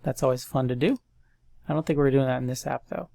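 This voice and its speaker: A man's voice: medium pitch, quick pace, vocal fry, dry recording, clean studio sound. Stuffy-nosed man walking through code. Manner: thinks aloud, relaxed and chatty. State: stuffy nose. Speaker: coding tutorial host. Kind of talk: casual screen-recorded coding walkthrough.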